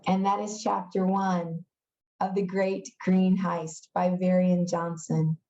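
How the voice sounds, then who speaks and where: A woman reading a children's novel aloud in an expressive storytelling style. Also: on the low side, slow pace, muffled recording, a little hiss or hum.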